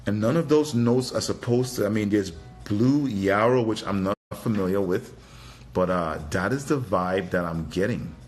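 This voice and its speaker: A man's voice: lowish voice, normal speed, faint music behind. A man from Trinidad giving a casual live product review, thinking aloud in a hesitant, musing way and often repeating his phrases. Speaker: fragrance reviewer and livestream host.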